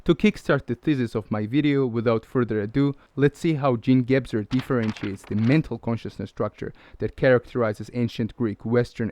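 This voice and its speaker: Guy narrating a video essay, lecture tone, mid pitch, dry close sound. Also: a little mellow, no echo. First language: Georgian. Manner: measured, lecturing. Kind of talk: scripted narration.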